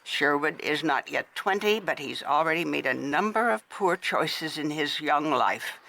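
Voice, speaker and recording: An actress playing a refined, middle-aged wealthy widow in a radio detective drama, with a formal, confiding way of talking. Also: female voice, low voice, expressive, unhurried, creaky, pushed and bright, full character voice. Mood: discreet, confiding. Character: wealthy widow, refined, gracious, worried hostess.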